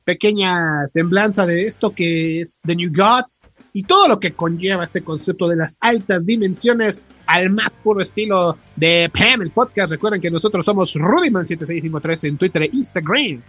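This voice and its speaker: A man's voice: high-pitched, normal speed, fairly bright tone, husky, no echo. A man with a Mexican accent co-hosting a podcast in casual, slangy chat, emphatic and repeating words for stress. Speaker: podcast co-host. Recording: music behind the voice.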